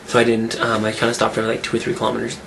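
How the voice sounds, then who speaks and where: A man talking casually in a vlog, rambling and restarting his sentences, his voice rough from a cold. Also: mid-range voice, completely flat delivery, rapid-fire, very noisy. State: getting over a cold, rough voice. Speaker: fitness vlogger. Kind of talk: casual weekly update vlog.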